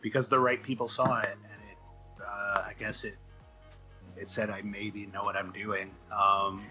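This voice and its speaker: Guy being interviewed, crisp bright voice, kinda halting and self-deprecating, just chatting. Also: lowish voice, little pitch movement, faint music behind, poor audio. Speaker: guest, comic book writer. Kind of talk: casual interview chat.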